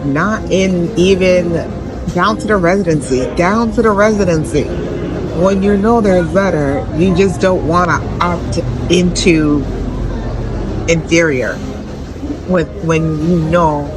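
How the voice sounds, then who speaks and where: A woman's voice: on the low side, slow pace, music in the background, poor audio. A woman giving casual travel vlog commentary in a blunt, slangy, profane way. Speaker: travel vlogger and podcast host.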